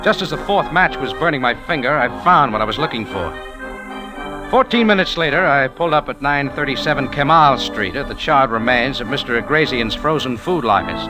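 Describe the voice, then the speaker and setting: A man's voice: medium pitch, animated, brisk, natural voice. An American man playing a cool, wry private investigator, narrating his case in dry, clipped 1940s radio style. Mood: matter-of-fact. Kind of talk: 1940s radio acting, first-person hardboiled narration. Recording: old radio recording with music behind.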